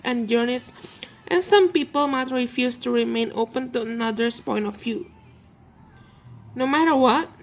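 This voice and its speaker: Gentle woman card reader. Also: fairly high pitch, poor audio. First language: Indonesian. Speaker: tarot and oracle card reader. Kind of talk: channeled card reading for viewers.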